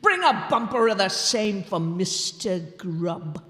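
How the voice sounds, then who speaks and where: A gruff, commanding Goblin King, done by a woman with slow, booming delight. The voice rings out in a large echoing hall. It comes from some distance. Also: sing-song, a bit bright. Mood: gleeful. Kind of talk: live stage reading.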